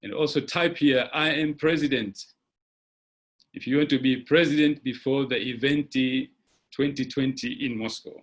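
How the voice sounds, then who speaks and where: A man hosting an online webinar, giving motivational advice with frequent "uh" pauses, recorded on a computer mic. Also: mid-range voice. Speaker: webinar host.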